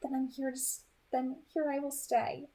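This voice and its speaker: A woman's voice: high-pitched, level tone, moderate pace, mellow, pitched above the performer's own voice. A woman reading aloud the part of a tender, devoted young female vampire, with an affectionate, emphatic manner. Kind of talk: amateur audiobook reading.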